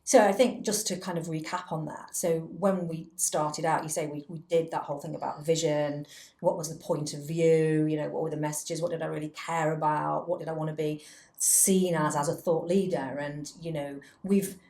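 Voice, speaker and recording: A woman's voice: low-pitched, fairly even intonation, moderate pace, warm tone. A woman hosting a conversational podcast, talking in a reflective, rambling and self-deprecating way. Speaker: podcast host, chief executive. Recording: roomy, echoing indoor sound.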